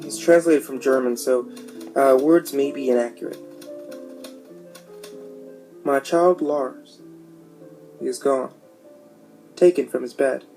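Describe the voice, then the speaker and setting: A man's voice: mid-range voice, slow, creaky, pauses often, dark tone. A man narrating a scripted horror story as a storyteller. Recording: music behind.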